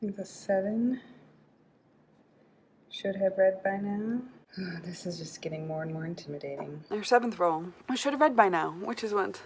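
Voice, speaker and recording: A woman with a mid-range voice talks informally to camera as a book vlogger. She is chatty and thinks aloud as she goes. There is clear background noise and room ambience around her voice. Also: mid-range voice. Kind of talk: casual chat to camera while playing a reading-list game.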